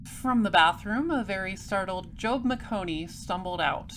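A woman's voice: mid-range voice, unhurried, a bit bright, slight background noise, lightly acted. Dry, wry detective played by a woman. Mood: narrating. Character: sardonic, self-assured space patrol detective, narrating. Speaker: detective and narrator. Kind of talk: audio drama acting and first-person narration.

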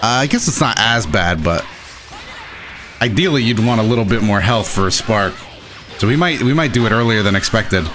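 A young adult man giving excitable, self-deprecating live commentary over his own gameplay as the host. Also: lowish voice, moderate pace, music in the background. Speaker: gaming video host.

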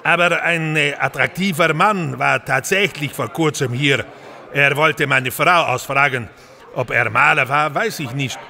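An actor playing a busy, impatient Italian innkeeper in an audio drama, speaking curtly. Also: male voice, medium pitch, creaky, sharp tone. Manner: curt, impatient, peppered with Italian words. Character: brusque, busy Italian innkeeper running a trattoria.

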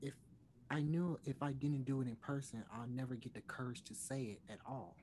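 A young man reading the part of an earnest, nervous singer in a play, speaking haltingly. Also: mid-range voice, darkish tone, a little hiss or hum, close to an everyday voice. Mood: nervous, hesitant. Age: young adult. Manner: hesitant, halting. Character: nervous, earnest male singer seeking a hard talk. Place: indoor room on video call.

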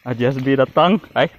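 A man making laughing remarks to friends while hiking outdoors on a forest trail. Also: medium pitch. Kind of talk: casual chat while hiking.

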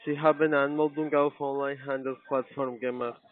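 Casting: male non-native phone agent, medium pitch, mumbled halting delivery, tinny phone line. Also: flat delivery. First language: not German. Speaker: call-centre agent. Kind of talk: halting phone sales call.

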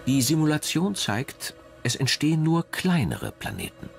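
A man narrating a scripted documentary in a measured, dramatic storytelling manner. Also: on the high side, wildly swooping pitch, vocal fry, music in the background.

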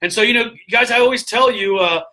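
A middle-aged man talking casually into a webcam from a hotel room, animated and full of fillers as he gives motivational business tips. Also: extremely high pitch, a little fast, poor audio. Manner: animated, gestures a lot, filler-heavy with frequent "all right?". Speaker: vlogger giving business tips. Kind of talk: casual motivational live stream. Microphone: webcam at desk distance.

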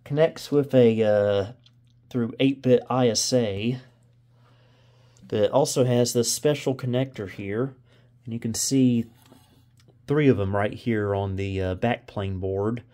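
A man's voice: mid-range voice, fairly even intonation, slow pace, long pauses, dark tone. A man giving casual hands-on commentary as a video host, thinking aloud and often unsure. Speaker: host of a vintage computer video channel. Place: indoors in a home room.

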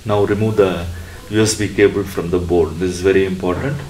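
A man presenting an electronics tutorial step by step in a halting, instructional way. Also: low-pitched, steady tone, a little mellow, slight background noise.

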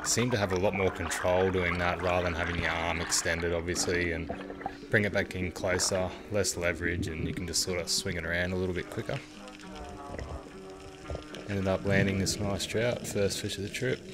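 Young aussie bloke, low nasal voice, stuffy nose, easygoing chat, music playing under him. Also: male voice, fairly even intonation, normal speed. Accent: Australian. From Australia. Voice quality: nasal, blocked up. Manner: laid-back, chatty, easygoing. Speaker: vlog host. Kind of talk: casual vlog talk and voice-over narration. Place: open boat on the sea, outdoors.

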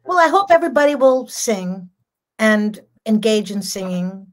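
A woman's voice: medium pitch, lively intonation, moderate pace. An older woman talks in a loose, rambling way, laughing between thoughts. Her voice is strong and carries well. She is heard over a home video call. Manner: chatty, laughs often, rambling. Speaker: jazz singer, guest. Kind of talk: casual video-call interview. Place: home room.

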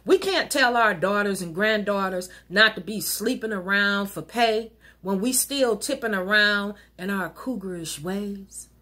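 A middle-aged American woman reciting rhyming spoken-word poetry like a minister, with a deliberate, emphatic, preacherly cadence. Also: mid-range voice, sharp tone. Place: wood-panelled living room at home.

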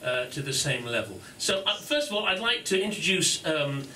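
A man's voice: a little high, sing-song, moderate pace, bright, forceful tone, a little hiss or hum. A man hosting a launch event in a pub, giving an informal, self-deprecating speech full of fillers and laughs. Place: pub function room. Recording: echoey room from far off.

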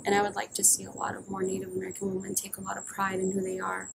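A young woman speaks in a firm, heartfelt way, quick and flat in pitch, with a gravelly edge. She is recorded outdoors. Clear background noise and passing vehicles come through. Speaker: interviewee. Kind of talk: personal testimony. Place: outdoors by a stone wall.

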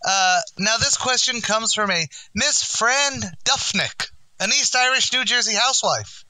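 A blustering tavern manager acted by a man hosts a quiz in an old-time radio comedy. His voice is high and very sharp, with mock-grand, wisecracking delivery and a dry sound. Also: expressive, unhurried, no room sound, exaggerated voice, poor audio. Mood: wry, blustering. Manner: mock-grand quizmaster, malapropisms.

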